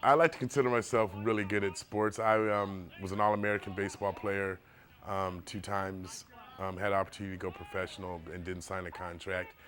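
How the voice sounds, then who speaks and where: A middle-aged man who paints shoes, talking about his work in a documentary interview in a relaxed, rambling way with many fillers. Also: low voice, brisk, a little hiss or hum. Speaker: shoe painter.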